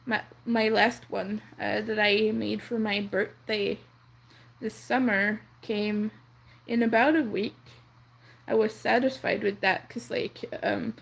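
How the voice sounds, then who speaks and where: Slow husky young woman, french first language, rambly soft chat on a crochet stream. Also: mid-range voice, level tone, poor audio. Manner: soft-spoken, rambling, counts under her breath. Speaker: crochet livestream host. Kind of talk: casual chat while crocheting.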